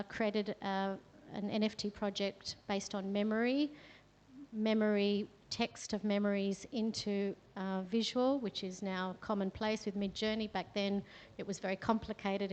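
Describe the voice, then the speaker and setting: A woman's voice: medium pitch, clean tone. A woman from Australia with an Australian accent, telling a chatty, story-led talk to a meetup audience with many fillers. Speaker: guest speaker. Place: event room with a live audience. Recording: long reverb in a venue hall.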